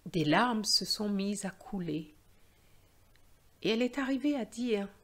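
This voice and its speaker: Slow-paced woman teacher reading aloud. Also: mid-range voice, animated. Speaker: French teacher and storyteller. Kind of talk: slow, clear story reading for language learners.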